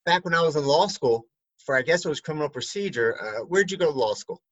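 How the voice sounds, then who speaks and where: A man who works as an attorney, asking a colleague questions in a relaxed, rambling way with many fillers. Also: on the high side, quick pace, no echo, muffled recording. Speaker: attorney and interviewer. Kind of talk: conversational chat.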